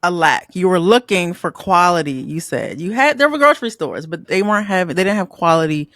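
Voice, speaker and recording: A woman's voice: lowish voice, expressive, brisk, dry recording, studio quality. A woman hosting a casual interview, asking questions and affirming her guest often. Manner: warm, affirming, frequent laughs and "mm-hmm". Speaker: podcast host.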